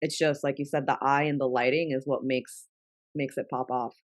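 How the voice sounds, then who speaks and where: A woman's voice: very deep voice, flat delivery, normal speed, no echo, studio quality. A young woman from New York whose first language is Spanish, chatting casually as an interview guest with lots of "like" fillers. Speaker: guest, travel content creator.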